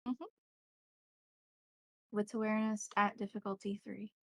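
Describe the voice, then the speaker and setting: A woman's voice: mid-range voice, flat delivery, measured pace, gravelly, fairly bright tone, no echo, clean studio sound. A woman acting as game master, narrating a tabletop roleplaying game and chatting casually with the players.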